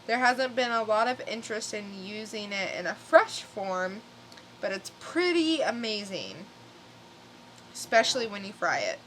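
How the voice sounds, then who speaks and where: A woman's voice: medium pitch, sing-song, measured pace, fairly bright tone, slight background noise, low-quality recording. A woman co-hosting a podcast, chatting casually as she shares stories. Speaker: podcast co-host. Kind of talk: casual chat, telling news stories.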